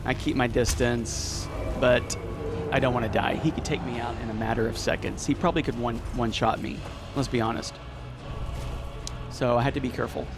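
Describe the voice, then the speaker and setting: A man with a Texas accent giving casual, excitable voiceover commentary on his gameplay. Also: medium pitch, fairly quick, over music. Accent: Texan. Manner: chatty, excitable, casual with filler words. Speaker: gaming YouTuber. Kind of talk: let's play voiceover commentary.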